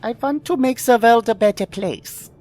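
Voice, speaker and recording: An actor playing a mad-scientist villain in an adventure audio drama, with theatrical, formal delivery. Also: male voice, very high-pitched, moderate pace, a little mellow, faint music behind. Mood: impassioned.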